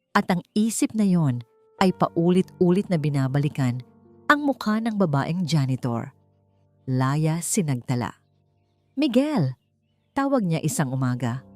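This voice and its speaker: A Filipino woman narrates an audiobook drama in a fairly low voice. Music plays behind her scripted, dramatic storytelling. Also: a little mellow. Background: background music.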